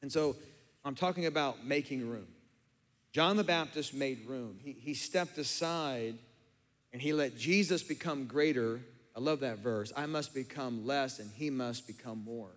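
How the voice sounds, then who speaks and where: Slightly hoarse pastor preaching in a hall. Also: male voice, mid-range voice, a little slow. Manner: builds from calm teaching to fervent emphatic preaching. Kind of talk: sermon. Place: church sanctuary with congregation, also streamed online. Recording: long hall reverb.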